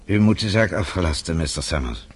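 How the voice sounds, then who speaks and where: Older male radio-drama role: distraught, helpless old gentleman, deep husky voice, hesitant and polite. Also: expressive, a little fast. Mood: pleading. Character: distraught older man, self-described helpless old fellow.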